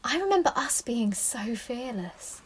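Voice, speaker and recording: A woman's voice: a little high, sing-song, slightly rough, full character voice. An actress playing an anxious, protective mother, confiding and reflective in a naturalistic audio play. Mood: protective, wounded. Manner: confiding, unhurried.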